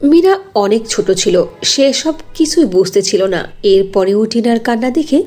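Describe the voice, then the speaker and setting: A woman's voice: fairly high pitch, expressive, moderate pace, darkish tone. A woman narrating a scripted fairy tale as a storyteller.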